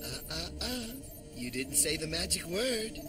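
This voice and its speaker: A resentful, sneaky programmer. His high voice whines and stumbles petulantly, and loud cinematic music runs behind it. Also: sing-song, a little slow. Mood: taunting. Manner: stammering, petulant. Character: whiny, resentful, sneaky male computer programmer.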